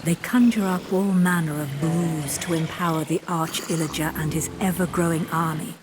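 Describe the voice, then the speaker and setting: A woman playing a grave storyteller who narrates a fantasy video game with theatrical, ominous and deliberate phrasing. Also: low voice, fairly even intonation, background noise, natural voice. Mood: urgent, foreboding. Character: grave, dramatic female storyteller of a fantasy adventure. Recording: loud music behind. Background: dramatic game music.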